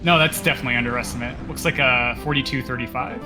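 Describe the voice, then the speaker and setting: A man's voice: mid-range voice, fast, over music, poor audio. A man co-commentating a live speedrun, explaining the game in a casual way.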